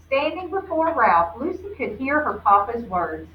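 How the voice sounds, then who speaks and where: A woman reads a children's story aloud at an unhurried pace, with a fairly high voice and teacherly emphasis. She sounds set back from the microphone in an echoing room, and addresses her listeners as she goes. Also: big pitch swings. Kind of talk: expressive picture-book read-aloud with questions to the listeners. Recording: roomy, distant, dull low-fi with faint noise.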